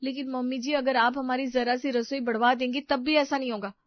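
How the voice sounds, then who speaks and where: A woman's voice: sits high, fairly even intonation, brisk, no echo, dull audio, pitched above the performer's own voice, lightly acted. Woman playing a harried, plaintive daughter-in-law. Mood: indignant pleading. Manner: plaintive, emphatic. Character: harried, aggrieved elder daughter-in-law in a crowded household. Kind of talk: dramatised family story.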